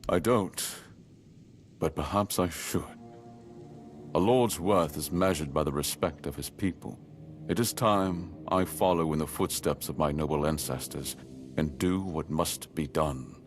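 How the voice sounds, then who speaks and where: An actor playing a solemn, duty-bound noble lord in a game, speaking in a measured, stately way. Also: male voice, rumbling low, soft background music. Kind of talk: voiced game dialogue.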